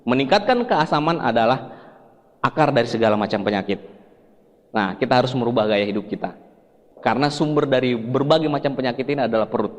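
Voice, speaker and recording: A man's voice: mid-range voice, a little fast. A man from Sulawesi, Indonesia, giving a health seminar in an explanatory, conversational way, putting questions to his listeners. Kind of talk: presentation to an audience. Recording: echoey hall with long reverb.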